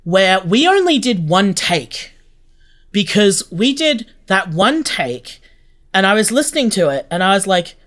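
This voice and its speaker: Interview guest, podcast director type. Mid-pitched voice, gushing and informal. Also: very animated, unhurried, a bit bright. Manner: effusive, gushing. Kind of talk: casual interview chat.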